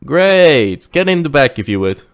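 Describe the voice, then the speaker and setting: A man playing a dutiful, wary driver who recounts his tale in measured audio drama narration. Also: a little high, very animated, clear, resonant tone. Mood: friendly, practical.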